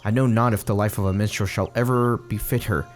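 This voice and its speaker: A man's voice: lowish voice, steady tone, fairly quick, faint music behind, lightly acted. A man reading as a worried village leader. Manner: solemn, plainly read quest text.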